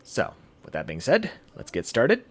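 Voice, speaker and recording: A man hosting a game livestream, chatting casually in a halting, rambling way. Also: mid-range voice, huge pitch swings. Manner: halting, rambling delivery with throat clearing. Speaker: game streamer, host.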